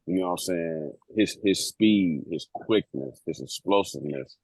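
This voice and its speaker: A man's voice: deep voice, moderate pace, darkish tone, slightly rough, no echo. A man co-hosting a sports podcast in casual chat, laid-back and emphatic, with frequent swearing. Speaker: podcast co-host.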